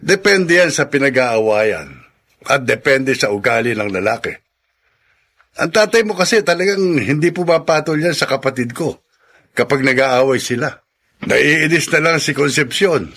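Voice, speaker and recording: A man's voice: medium pitch, expressive, normal speed, fairly bright tone. A man playing an easygoing, kindly uncle who keeps a shop in a radio drama, casual and teasing. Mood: reflective.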